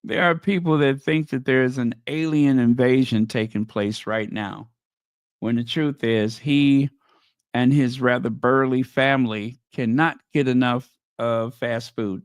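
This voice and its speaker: A man's voice: medium pitch, clean tone, no room sound, clean studio sound. A man hosting a casual livestream chat, losing his voice and making sarcastic, mocking asides. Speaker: livestream host.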